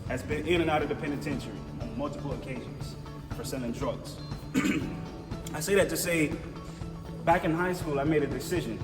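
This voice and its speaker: Motivational speaker, man from Jamaica, casual storyteller who works the crowd; distant echoey hall recording. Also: fairly high pitch. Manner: energetic, casual storytelling, checks in with audience. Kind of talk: conference talk with call-and-response. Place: large conference hall.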